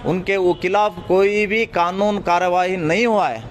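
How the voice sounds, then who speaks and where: High-voiced man making a press statement. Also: steady tone, moderate pace, a little hiss or hum.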